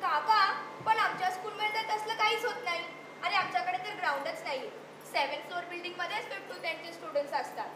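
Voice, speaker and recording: A woman's voice: extremely high pitch, fast, recorded from a distance. A woman playing a chatty, eager city schoolgirl in a stage play, mixing English words into her Marathi. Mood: matter-of-fact. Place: theatre stage at a youth festival. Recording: echoey stage mic from afar, noisy hall.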